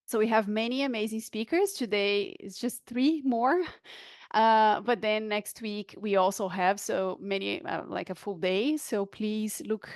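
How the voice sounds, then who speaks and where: A woman's voice: medium pitch, a little slow, dry recording. A woman hosting an online event, introducing a speaker in a friendly tone with frequent fillers. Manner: friendly, filler-heavy moderator. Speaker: event host. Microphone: video call.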